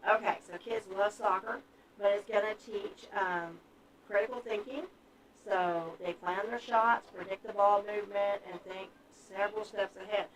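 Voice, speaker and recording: A woman's voice: medium pitch, fairly even intonation, unhurried. Chatty woman in her fifties, distant mic. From Texas. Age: 50s. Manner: chatty, upbeat, lists points with many ums. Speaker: children's museum staff member. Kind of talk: casual livestream update. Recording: distant mic with crowd noise. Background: crowd chatter.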